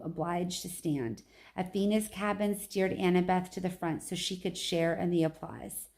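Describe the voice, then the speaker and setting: A woman's voice: on the low side, a little mellow. A woman reading a children's novel aloud at home like a teacher, with informal asides to her listeners.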